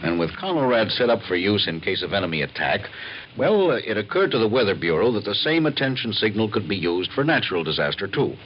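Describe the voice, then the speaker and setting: Guy playing sober 50s govt official on old radio, muffled, bit of hiss, low voice, formal explaining. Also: sing-song, fairly quick. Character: sober male government communications official explaining procedure. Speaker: interviewee. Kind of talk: scripted 1950s radio interview. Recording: dull old radio recording, faint noise.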